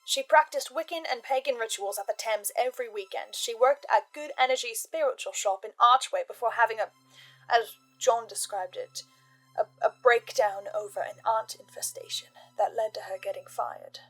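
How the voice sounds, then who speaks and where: A woman hosting a scripted horror analysis, speaking as an investigator in a measured, deliberate way with dramatic pauses. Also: on the high side, low-quality recording. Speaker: host of a fan theory channel. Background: music.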